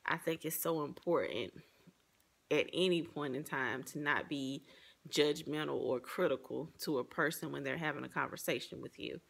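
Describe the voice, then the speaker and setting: A woman gives a personal, reflective presentation, partly read from notes. Her voice has a noticeable fry and gravel to it. She explains herself in an earnest way. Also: low-pitched, normal speed.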